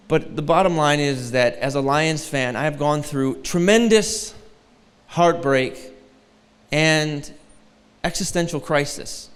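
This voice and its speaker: A man's voice: a little high, clear, resonant tone, long reverb tail. A man in his forties from Detroit, a guest preacher giving a sermon with a light, thin voice and a joking, self-deprecating manner. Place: large church hall.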